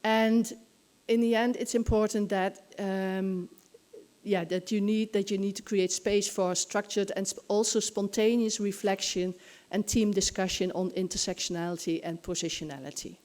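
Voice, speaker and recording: Older woman, medium pitch, hesitant thinking-aloud delivery, academic conference talk, podium microphone. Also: steady tone, moderate pace, a little mellow. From Netherlands. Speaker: conference presenter. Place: hotel conference room. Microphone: podium gooseneck microphone.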